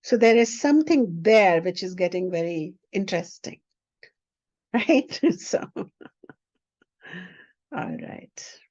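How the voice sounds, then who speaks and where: A woman whose first language is Hindi, teaching a spiritual class at a very slow, deliberate pace. Her voice is warm and mellow. She keeps checking that the listener follows, and the recording is dry and a little dull and lo-fi. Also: mid-range voice, lively intonation, no room sound. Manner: unhurried, often checks in with the listener.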